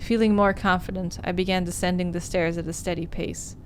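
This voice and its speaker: A woman with a slightly low voice streams a visual novel playthrough. She speaks like an expressive storyteller, stumbling a little as she reads. Some background noise and ambience sits behind her. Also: brisk. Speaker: game streamer. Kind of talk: livestream playthrough, reading visual novel narration aloud.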